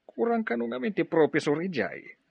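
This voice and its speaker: A man acting a gentle middle-aged father and professor in Ilocano radio drama, with an Ilocano accent. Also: high-pitched, big pitch swings, moderate pace, gravelly, breathy edge, no room sound, narrow, tinny audio, exaggerated voice. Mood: gentle, reflective. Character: fatherly retired professor and farm owner, gentle.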